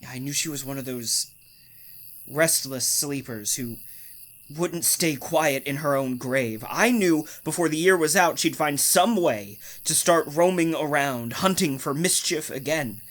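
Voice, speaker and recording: A man acting a menacing, unhinged husband obsessed with his dead sister, speaking in a deliberate, domineering way in a radio thriller style. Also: a little high, a bit bright. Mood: brooding, obsessive.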